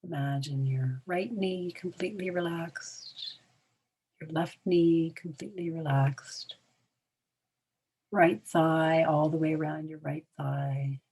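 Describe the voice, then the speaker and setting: A woman leading a guided relaxation in a soothing, hushed manner, leaving long pauses between short phrases, with a breathy tone that trails toward a whisper. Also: deep voice, steady tone, a little hiss or hum. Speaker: meditation guide.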